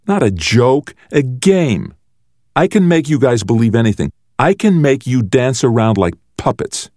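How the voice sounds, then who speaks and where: A man's voice: medium pitch, big pitch swings, measured pace, a little mellow, dry recording, studio quality, pitched above the performer's own voice. A man voicing a cruel, mocking older brother, a menacing and theatrical boy bully in an audiobook. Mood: gleeful mockery. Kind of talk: audiobook character voice.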